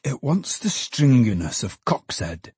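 A man's voice: mid-range voice, very animated, a little slow, darkish tone, slightly rough, dry recording, heavily acted. A man voicing a poor, good-humoured old father in a storybook, musing and exclaiming in a folksy way. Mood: musing, savouring.

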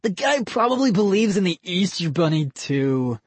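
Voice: mid-range voice, big pitch swings, moderate pace, husky, no echo, pitched above the performer's own voice. A narrator voicing a cocky, mocking prankster boy in an audiobook.

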